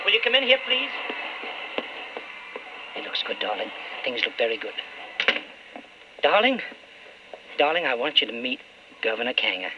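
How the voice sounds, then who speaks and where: Man playing a lively, ambitious radio-drama politician. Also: medium pitch, normal speed, pauses often, noisy background, close to an everyday voice. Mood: eager. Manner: folksy, placating, excitable. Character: eager, glad-handing young political hopeful, placating and ambitious. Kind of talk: old-time radio drama acting. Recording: dull old radio recording.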